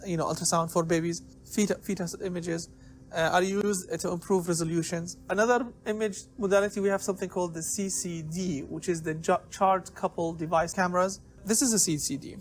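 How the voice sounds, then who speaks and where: High-pitched male lecturer with background noise. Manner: didactic, poses questions and answers them himself. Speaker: lecturer in biomedical engineering. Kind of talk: informal explanatory lecture.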